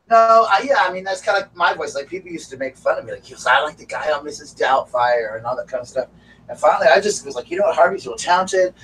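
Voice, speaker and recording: A raspy man with a Southern US accent hosts a casual live stream, talking like he is chatting with friends. He sits a little away from his mic, and a faint hum of noise stays under his voice. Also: a little high, lively intonation, brisk, a bit bright, far from the mic, rough recording. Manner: chatty, breaks off to call his dogs.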